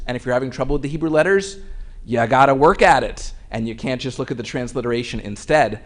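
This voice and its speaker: Man leading a synagogue service. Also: fairly high pitch. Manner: conversational and explanatory, with occasional fillers. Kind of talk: explaining to a congregation at a service. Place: synagogue sanctuary.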